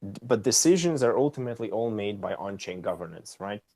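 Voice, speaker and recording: Male guest, low register, dry studio-close mic, patient tech explainer. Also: lively intonation, fairly quick, a little mellow, no echo. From Austria. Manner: explanatory, tags questions with "right?". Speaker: company co-founder and CEO, guest. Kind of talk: casual interview answers.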